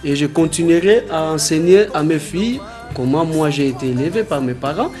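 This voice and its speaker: A man in his thirties from the Democratic Republic of the Congo, a Congolese language his mother tongue, talking earnestly with halting restarts in a documentary interview. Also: a little high, lively intonation, a little mellow, soft background music. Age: 30s.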